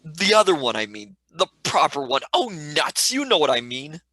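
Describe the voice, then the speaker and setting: Actor playing a bright-voiced, cheeky lad. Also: male voice, on the high side, big pitch swings, normal speed, no echo, exaggerated voice. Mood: exasperated. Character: cheeky, skeptical young Cockney male companion, slangy. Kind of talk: live script reading, radio play.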